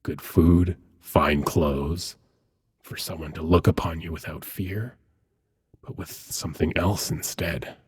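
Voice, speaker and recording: A man playing an ancient, seductive demon prince in an intimate ASMR roleplay, hushed and coaxing, with dramatic pauses and a husky, breathy voice. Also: very deep voice, steady tone, exaggerated voice. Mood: teasing, tempting. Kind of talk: ASMR roleplay, intimate second-person monologue.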